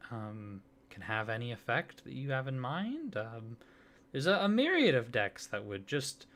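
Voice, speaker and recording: Soft-spoken hesitant young man, slow. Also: mid-range voice. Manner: hesitant, flustered, many fillers and restarts; apologetic, awkward laughs. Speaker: ASMR roleplayer. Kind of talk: soft-spoken ASMR roleplay.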